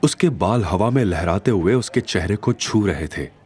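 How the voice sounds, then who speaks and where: Animated male story narrator. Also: deep voice, normal speed. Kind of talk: dramatic scripted story narration.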